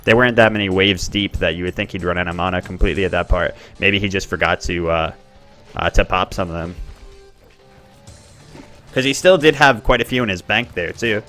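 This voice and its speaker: Chatty male game commentator, bright voice. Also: a little fast, faint music behind. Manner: chatty, excitable, bursts up in pitch at plays. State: expects to lose his voice. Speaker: tournament host and commentator.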